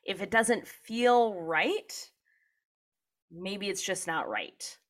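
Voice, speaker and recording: A woman's voice: mid-range voice, drawn-out words. A woman co-hosting a podcast, chatting casually and joking, now and then slipping into comic voices. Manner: jokey, slips into comic put-on voices. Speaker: podcast co-host. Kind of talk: casual conversational chat.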